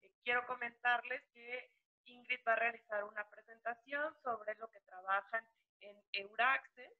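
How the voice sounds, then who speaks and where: A woman's voice: a little high, fairly even intonation, measured pace. A woman moderating a webinar, introducing the session politely and formally with filler words, over a video call. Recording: tinny phone-like video call audio.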